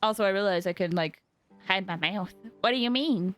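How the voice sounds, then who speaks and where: A woman game streamer reacting live in an excitable, gushing way, often repeating her phrases. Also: mid-range voice, moderate pace. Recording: game music behind the voice.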